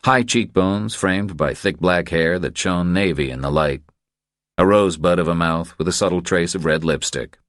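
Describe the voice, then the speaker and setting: A man reading scripted audiobook narration in a measured storytelling manner. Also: sits low, no room sound, clean studio sound.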